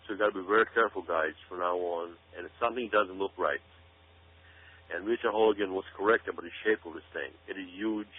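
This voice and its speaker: A man's voice: low voice, quick pace, slightly rough. A man giving conspiracy-minded commentary as a radio show guest, speaking emphatically and repeating words and phrases for stress. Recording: narrow, phone-like radio sound.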